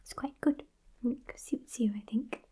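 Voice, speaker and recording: A woman's voice: fairly high pitch, mellow. Whispering British woman as a sassy witch. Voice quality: breathy whisper. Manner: sassy, haughty, unhurried. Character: sassy, haughty, centuries-old witch woman. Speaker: ASMR performer playing a witch. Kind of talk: whispered ASMR roleplay. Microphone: close microphone just off camera.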